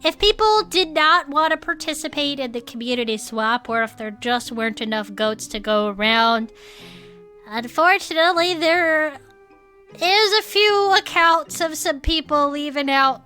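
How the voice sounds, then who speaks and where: A woman's voice: high voice, animated, a little slow, pushed and bright, soft background music, heavily acted. A woman playing a hopeful cryptid believer who co-hosts a podcast in a chatty scripted audio comedy. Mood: explanatory. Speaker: podcast co-host character.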